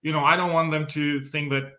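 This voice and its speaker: A man's voice: a little high, little pitch movement, a little fast, pushed and bright, dull audio. A middle-aged man from Italy with an Italian accent, a winery sales guest in a casual interview, talking with many restarts and frequent laughs.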